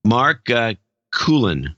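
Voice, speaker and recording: A man's voice: mid-range voice, very animated, slow, bright, forceful tone, no room sound, lo-fi sound. A man co-hosting a talk podcast, reading listener notes aloud with dry humor. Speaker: podcast co-host. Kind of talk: casual chat, reading donor notes.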